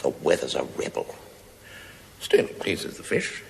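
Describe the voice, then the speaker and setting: An actor playing a middle-aged working stonemason in a television play, wry and grumbling, with mock-deferential, theatrical phrasing. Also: male voice, very deep voice, wildly swooping pitch, a little slow, vocal fry, heavily acted. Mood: wry deference. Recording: echoey hall, faint noise, 1980s TV recording.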